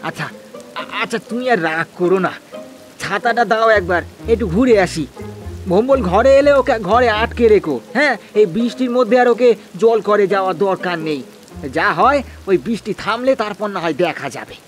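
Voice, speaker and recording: A man's voice: sits high, animated, moderate pace, faint music behind. A man voicing an anxious, stammering village farmer in a cartoon, with rustic speech. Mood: placating. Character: anxious, hard-working village farmer, timid husband. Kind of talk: cartoon voice acting.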